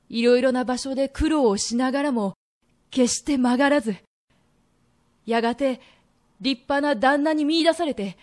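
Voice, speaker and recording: A woman acts an earnest young merchant in a radio drama. The voice is high for the part, and he confesses his wayward past with remorse and feeling. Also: a little mellow. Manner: confessional storytelling that swells with emotion. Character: reformed young merchant man, earnest, remorseful, pleading son.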